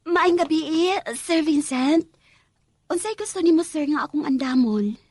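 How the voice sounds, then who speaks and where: High-voiced lovesick Filipino housemaid. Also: female voice, unhurried, darkish tone, no echo, clean studio sound, soft background music. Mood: meek, eager. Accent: Filipino, Visayan. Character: lovesick housemaid, a mother pining for her master. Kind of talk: radio drama acting with confessional narration.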